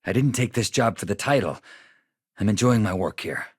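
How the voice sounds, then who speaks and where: Earnest game spaceship engineer, low-pitched, rough-edged husky voice, measured, sincere, shaken by trauma. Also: male voice, lively intonation, no echo, clean studio sound. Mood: calm, sincere. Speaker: video game companion character. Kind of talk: naturalistic game dialogue acting.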